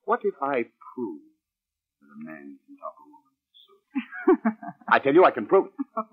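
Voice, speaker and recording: An actor playing a scheming, menacing husband in a 1940s radio drama, speaking in an insinuating, emphatic way. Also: male voice, medium pitch, huge pitch swings, normal speed. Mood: impatient. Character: scheming, menacing husband and would-be playwright, smooth then impatient. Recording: muffled, band-limited old radio recording.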